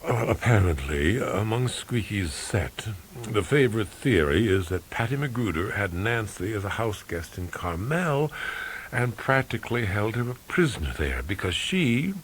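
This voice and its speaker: A man voicing a staunch, efficient, reserved career woman in an audiobook story, precise and measured. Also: on the low side, big pitch swings, a little hiss or hum, low-quality recording. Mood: measured, reporting.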